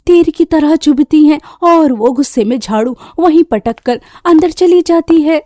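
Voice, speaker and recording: Mellow, high-pitched woman storyteller. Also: a little slow, dry recording, clean studio sound. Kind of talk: scripted Hindi cartoon story narration.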